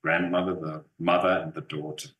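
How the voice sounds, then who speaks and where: A man's voice: low voice, little pitch movement, normal speed, a bit bright. A man in his fifties with a German accent, an actor chatting in an interview, rambling with fillers and restarts. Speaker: actor guest. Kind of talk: casual interview. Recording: roomy echo.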